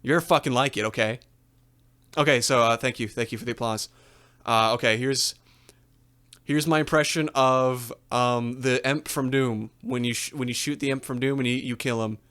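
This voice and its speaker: A man playing an awkward stand-up comic who stammers through monster impressions, with halting filler words. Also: mid-range voice, fairly even intonation, a bit bright, smooth, natural voice.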